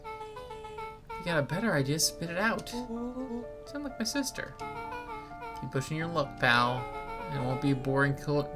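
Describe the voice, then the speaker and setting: A woman's voice: on the low side, wildly swooping pitch, unhurried, pauses often. A woman voicing a grumpy, sarcastic young kid in a video game, complaining in an exaggerated, combative way. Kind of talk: dramatic reading of a video game. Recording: music behind the voice.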